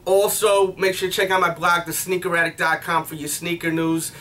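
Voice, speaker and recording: Slangy, higher-pitched American male sneaker vlogger. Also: level tone, fairly quick, sharp tone. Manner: slangy hype-man patter with repeated catchphrases. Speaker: sneaker review show host. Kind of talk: casual vlog product review. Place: small indoor room.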